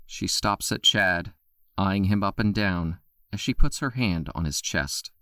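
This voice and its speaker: Male narrator, low-pitched, steady storyteller, dry booth sound. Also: a little slow, dry recording. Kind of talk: scripted narration.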